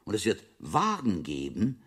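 Learned friar in his fifties, very deep and husky, didactic visionary with lively intonation, radio drama. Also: measured pace, full character voice. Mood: learned enthusiasm. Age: 50s. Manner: didactic, assured, expressive. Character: learned friar around fifty, assured visionary teacher. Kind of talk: radio play acting.

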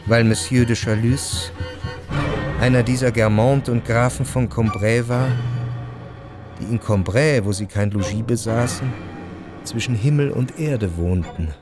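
An actor as a measured, reflective narrator reading scripted literary prose in a radio drama. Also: male voice, mid-range voice, music in the background, natural voice.

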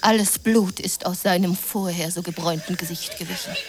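A woman's voice: lowish voice, fairly even intonation, fairly quick, natural voice. An actress playing an impassioned woman who tells a lurid adventure fantasy in a melodramatic, intense radio play manner, at times whispering. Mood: feverish excitement. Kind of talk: radio play narration and acting. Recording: noisy jungle ambience behind.

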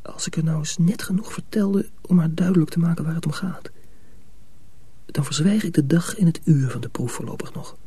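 A man acting in a radio drama as an earnest, troubled young mill apprentice, urgent and often hushed. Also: a little high, a little fast, husky. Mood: eager, defiant.

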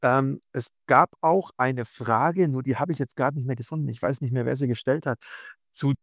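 Hesitant podcast host, dry close sound. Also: male voice, medium pitch, normal speed, dry recording. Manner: hesitant, reads listener questions with many fillers. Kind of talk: casual podcast interview.

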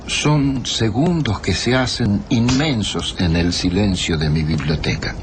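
An actor playing a pensive writer who narrates, gravely and reflectively, a stranger's tale in a radio drama. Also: male voice, on the low side, unhurried, background noise, close to an everyday voice. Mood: pensive suspense. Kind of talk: literary scripted radio narration.

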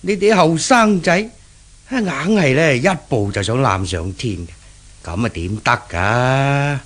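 A man's voice: medium pitch, expressive, unhurried. An actor playing a cautious, nagging older shopkeeper father who speaks hesitantly in a 1970s Cantonese radio drama, with a Hong Kong accent. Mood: fretful, nagging.

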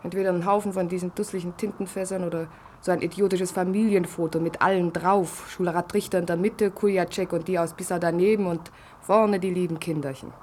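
An actress playing a sharp-tongued, unimpressed young daughter in a radio play, blunt and sarcastic. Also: female voice, on the low side, steady tone, a little fast, lightly acted. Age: young adult. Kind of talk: German radio play acting. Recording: noisy old radio recording.

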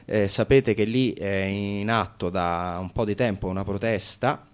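Brief: male radio presenter, informal and wry, lowish smooth voice, halting delivery. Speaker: radio host. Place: radio studio.

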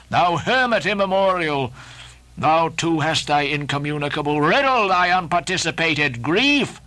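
A man's voice: high voice, sharp tone, full character voice. A man voicing an obsessed old sea captain who declaims in archaic, grandiose speech. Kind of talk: dramatic audiobook reading.

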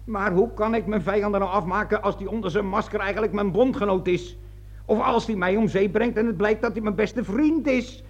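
High raspy suspicious sergeant. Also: male voice, a little fast. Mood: puzzled, stubborn. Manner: blunt, colloquial, repeating himself in confusion. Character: suspicious, stubborn, muddled army sergeant. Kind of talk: radio play acting. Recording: dull old radio recording.

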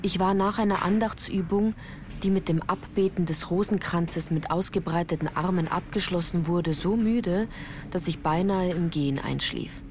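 A woman's voice: on the low side, normal speed, a little mellow, a little hiss or hum. A woman reading scripted literary first-person narration for a radio play, composed and carefully articulated. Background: music.

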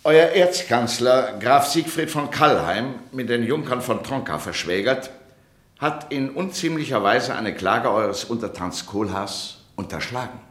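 An actor in a German radio play as a dignified, frank court official, speaking in a measured, courtly manner. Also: male voice, medium pitch, lively intonation, lightly acted. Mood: accusing. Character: dignified, frank male court official advising his prince. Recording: echoey room.

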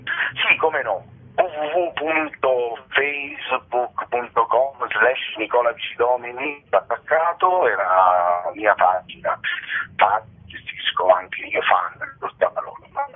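A man's voice: medium pitch, takes it slowly. A male comedian chatting as a radio interview guest in a rambling, tongue-in-cheek, mock-solemn manner. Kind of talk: casual radio interview chat. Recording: muffled telephone line.

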